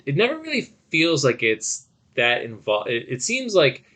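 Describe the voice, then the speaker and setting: A man's voice: on the high side, wildly swooping pitch, normal speed, a bit bright. A man hosting a podcast, talking casually and conversationally as he gives his opinions. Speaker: podcast host. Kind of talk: casual film discussion.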